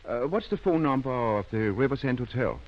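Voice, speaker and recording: Kindly, shrewd male radio-play doctor: animated pitch, gentle hesitations, dated muffled sound. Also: fast, a little hiss or hum. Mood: probing, genial. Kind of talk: 1930s-40s radio drama acting. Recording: dull lo-fi old radio recording.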